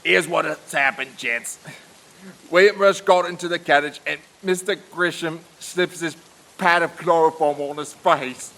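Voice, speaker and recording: A man playing a rough, defiant servant and criminal accomplice in audio theatre, talking bluntly. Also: a little high, a little slow, pushed and bright. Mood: defiant confessing.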